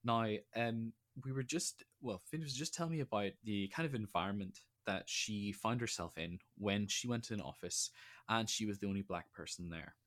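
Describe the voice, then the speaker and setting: Man, podcast host, lowish voice, reflective, thinks aloud, conversational. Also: moderate pace, a bit bright. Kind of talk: conversational interview.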